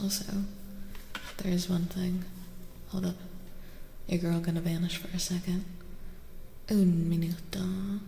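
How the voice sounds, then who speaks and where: A woman talks softly and slowly to her livestream chat in a casual, playful ASMR style. Her voice is breathy and deeply crackly with fry, often dropping to a whisper. The room adds noticeable echo, with faint noise behind her. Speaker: livestream host.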